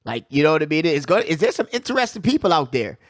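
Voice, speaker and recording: A man's voice: a little high, sing-song, fast talker, dry recording, studio quality. A man hosting a sports show with casual, slangy commentary, hyping his points and repeating phrases for emphasis. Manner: slangy, hype-man delivery with frequent check-in phrases.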